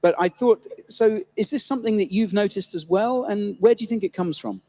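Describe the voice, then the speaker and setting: A man moderates a live panel before an audience, his voice riding high. It rings with the long reverb of a large venue. He introduces guests with loose, self-correcting phrasing. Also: fairly quick, darkish tone. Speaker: host and moderator.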